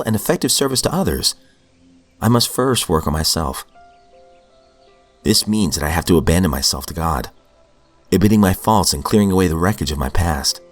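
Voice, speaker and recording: A man hosting a daily recovery reading podcast, reading passages aloud and then reflecting earnestly, sounding sniffly from a cold. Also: deep voice. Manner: earnest, reflective, with fillers and restarts.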